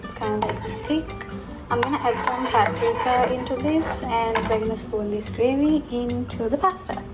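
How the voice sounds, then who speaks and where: A woman from Sri Lanka talks in a casual vlog style. Her voice sits a bit high, and she explains as she goes in an informal way. Prominent music plays behind her speech. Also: normal speed. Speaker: food vlogger. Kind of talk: casual vlog chat and cooking narration.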